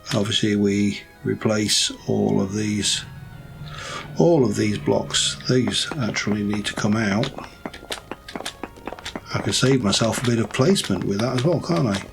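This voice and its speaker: Hoarse, lowish male let's-play host; loose, thinking-aloud commentary with a gravelly edge. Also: measured pace, over music, rough recording. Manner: rambling, thinking aloud while building. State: hoarse, voice going croaky. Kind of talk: casual gameplay commentary.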